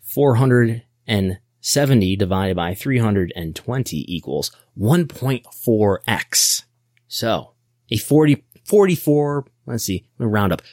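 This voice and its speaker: A man's voice: lowish voice, no echo, studio quality. An American man hosting a podcast, giving casual explanatory business commentary in a wry, nerdy way, often thinking aloud. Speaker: podcast host.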